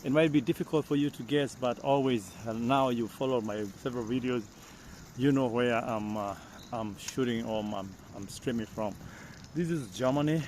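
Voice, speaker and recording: A man from Kenya with a Kenyan accent, talking casually as a vlogger in a halting, repetitive way. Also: medium pitch, a little hiss or hum. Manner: halting, repeats phrases. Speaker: vlogger, host. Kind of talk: casual outdoor vlog talk. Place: outdoors on a bicycle along village paths. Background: loud outdoor ambience.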